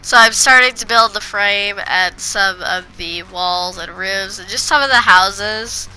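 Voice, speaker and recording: A woman's voice: medium pitch, a little slow, sharp tone, clear, resonant tone, faint music behind, low-quality recording. A woman with a cold, sounding congested, chatting casually over her gameplay and rambling with frequent restarts. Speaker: gaming video creator.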